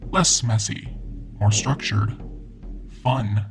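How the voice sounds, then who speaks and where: A man's voice: on the low side, slow pace, faint music behind. A man voicing a cryptic ghost in a computer. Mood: cryptic, taunting. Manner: short, cryptic, taunting replies. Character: sinister male ghost trapped in a computer.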